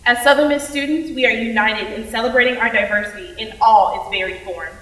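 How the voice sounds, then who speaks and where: A woman's voice: on the high side, brisk, sharp tone. A woman delivering scripted recitation as a student stage performer. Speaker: student performer in readers theater. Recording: echoey room, distant microphone.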